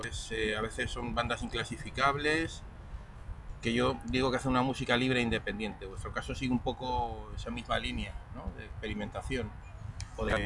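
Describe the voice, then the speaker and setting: Spanish male interviewer, measured questions, mid-pitched, heard from a distance over background noise. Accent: Spanish from Spain. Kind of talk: radio-style music interview.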